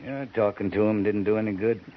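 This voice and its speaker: An actor playing a stern cavalry captain who talks in clipped, commanding lines. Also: male voice, lowish voice, fairly quick, natural voice. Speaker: lead character. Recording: muffled 1950s radio broadcast with hiss.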